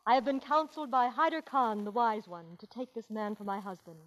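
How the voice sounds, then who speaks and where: A regal young desert princess played by an actress, slightly high and very expressive. Her speech is formal and poetic, in the manner of 1940s radio comedy. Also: a little fast, close to an everyday voice, rough recording. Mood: solemn.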